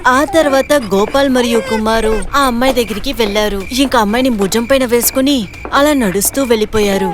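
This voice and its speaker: Female narrator, somewhat high-pitched, scripted storytelling for an animated moral tale. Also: a little fast.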